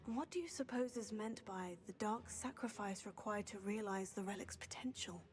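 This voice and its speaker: A young woman playing a composed, diplomatic teenage witch in a video game, measured and articulate. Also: medium pitch, natural voice. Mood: curious. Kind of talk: dramatic video game voice acting.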